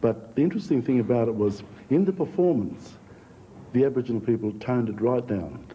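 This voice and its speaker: A man speaking reflectively in an interview as a theatre practitioner, measured and plain-spoken. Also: medium pitch, animated, mellow, lo-fi sound, a little hiss or hum. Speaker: theatre group member being interviewed.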